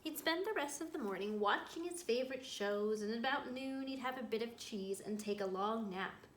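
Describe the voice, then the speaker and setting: A woman with a fairly high voice reads a picture book to children as a school librarian. She tells it expressively and turns to her listeners with small asides. The room echoes around her and there is some background noise. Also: normal speed. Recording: roomy echo, clear background noise.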